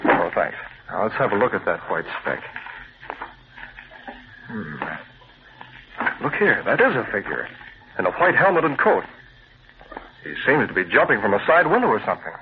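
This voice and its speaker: A man playing a calm, shrewd investigator in charge in an old-time radio crime drama, measured, curious and authoritative. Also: on the low side, creaky. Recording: muffled, hissy vintage radio recording.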